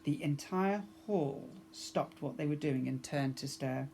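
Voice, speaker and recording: A man reads a storybook aloud in the manner of a primary school teacher. His narration is expressive and aimed at young children. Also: on the high side, unhurried, creaky, slightly rough.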